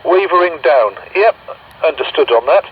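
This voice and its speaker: A man's voice: a little high, lively intonation, moderate pace, breathy edge. A British man running a radio exchange over CB, speaking politely and a little hesitantly. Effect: CB radio transmission. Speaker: CB radio net controller. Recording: muffled, noisy CB radio speaker.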